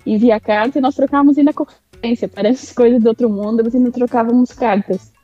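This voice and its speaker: A woman in her mid-thirties from Brazil, with a Brazilian accent tinged by European Portuguese, speaking as a guest in a remote interview in a reflective, halting way with restarts. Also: a little high, fairly even intonation, dark tone, dry recording, lo-fi sound, soft background music. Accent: Brazilian with European Portuguese touches. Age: mid-30s.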